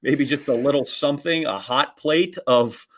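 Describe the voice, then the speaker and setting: A man's voice: medium pitch, moderate pace. A man co-hosting a podcast with casual banter and questions, joking and rambling as he goes. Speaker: podcast co-host.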